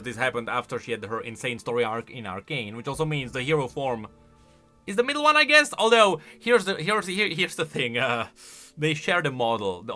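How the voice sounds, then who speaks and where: A man giving unscripted reaction commentary on game voice lines in an animated, exclamatory way. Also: medium pitch. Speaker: YouTube commentator.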